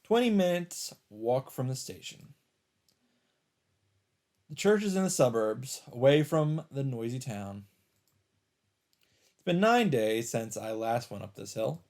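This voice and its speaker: A man doing a let's play, reading a visual novel's narration aloud in a measured storytelling delivery. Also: mid-range voice, very animated, a little mellow.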